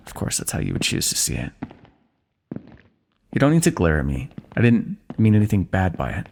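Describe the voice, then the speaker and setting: A low, gravelly voice moving through lively, expressive turns: a young ruler, as a man plays him, tending a wounded sword-bearer. He speaks close and soft, with gentle chiding and dry teasing. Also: slight background noise. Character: male ruler of a kingdom, tending a wounded knight. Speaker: ASMR roleplay performer. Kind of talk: scripted fantasy ASMR roleplay.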